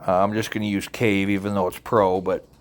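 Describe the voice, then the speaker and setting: Low-pitched man giving a casual tutorial. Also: level tone, fairly quick, slight background noise. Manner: informal, step-by-step instructing. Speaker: tutorial presenter. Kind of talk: casual, unscripted software tutorial narration.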